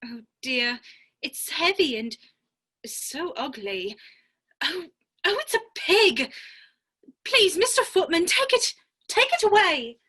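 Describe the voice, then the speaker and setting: A woman's voice: very high voice, very animated, slow, pauses often, pushed and bright, full character voice. A woman playing a polite, curious, fretful young girl in a dramatic reading of a children's classic, earnest and prim. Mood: dismayed.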